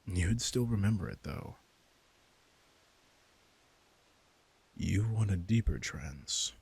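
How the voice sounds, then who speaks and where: A man playing an arrogant, teasing, centuries-old vampire king in an intimate audio roleplay, casual and sardonic, with a low, gravelly voice. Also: lively intonation, a little slow, a little mellow, exaggerated voice. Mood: coaxing, menacing. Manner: casual, sardonic, swearing, coaxing his prey.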